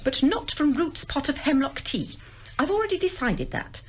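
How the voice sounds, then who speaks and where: A woman acts out a decisive, suspicious lady sleuth in a radio mystery. Her voice is very high and swings wildly in pitch as she lays down her opinions, over faint background noise. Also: sing-song, moderate pace. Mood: brisk, suspicious. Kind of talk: radio drama acting.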